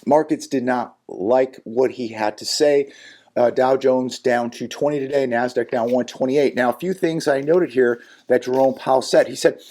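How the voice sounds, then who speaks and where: A man with a hoarse, raspy voice from a sinus infection, hosting a solo commentary vlog in an earnest, cautionary way with many fillers and repeated words. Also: medium pitch, steady tone, moderate pace, darkish tone. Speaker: channel host and economy commentator.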